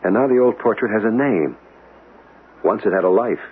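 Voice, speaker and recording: An actor playing a courteous, intrigued antiques enthusiast in a radio drama, earnest and somewhat hesitant. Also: male voice, on the low side, lively intonation, moderate pace, husky. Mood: intrigued, wistful. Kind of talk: old-fashioned radio drama acting. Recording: tinny noisy old radio broadcast.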